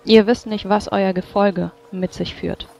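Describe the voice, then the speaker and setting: A game master narrating and speaking in a formal, measured way as a stern official in a tabletop role-playing game. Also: mid-range voice, fairly even intonation, creaky, a little mellow. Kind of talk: tabletop role-play narration and in-character dialogue.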